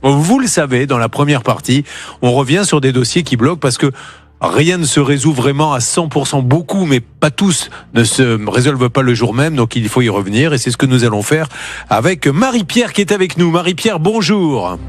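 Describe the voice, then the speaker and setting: A man's voice: mid-range voice, animated, normal speed. A man hosting a live TV help show, joking and teasing his colleagues as he runs through the cases. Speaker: TV show host. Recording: broadcast TV audio.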